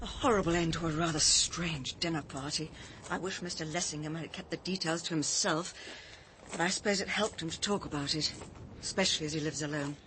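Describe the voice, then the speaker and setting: An actress playing a reflective, principled former schoolteacher in a radio drama, speaking thoughtfully with an English accent. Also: female voice, low voice, brisk, a bit bright, low-quality recording. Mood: sombre. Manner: thoughtful, earnest, articulate. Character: reflective former schoolteacher, principled woman living in coastal retreat. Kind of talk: naturalistic radio drama acting. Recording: clear outdoor ambience noise behind the voice.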